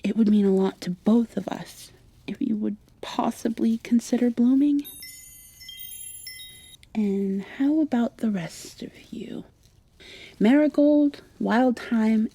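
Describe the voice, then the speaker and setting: A woman in an ASMR roleplay playing a gentle, wry young witch who coaxes her plants in a soft-spoken way. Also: fairly high pitch, vocal fry, husky, dry recording, full character voice.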